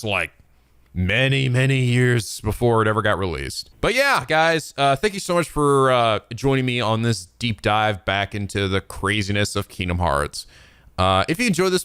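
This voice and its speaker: Male let's play host: smooth mid-pitched voice, enthusiastic, rambling, swears freely, close dry mic. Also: a bit bright, dry recording. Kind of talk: casual reaction commentary.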